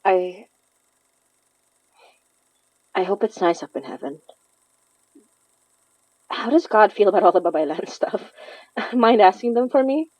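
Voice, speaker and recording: A woman acting a grieving, conflicted young daughter in an audio drama, speaking intimately and haltingly with a Filipino accent. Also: medium pitch, lively intonation, a little mellow, no echo. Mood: sad, longing. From Philippines. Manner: halting, sighing, confessional. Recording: slightly dull, lo-fi, dry recording.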